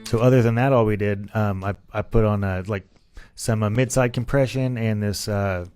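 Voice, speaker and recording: A man's voice: on the low side, fairly even intonation, moderate pace, a little mellow. A man explaining music production in a casual, plain-spoken tutorial style, as someone who plays and records himself.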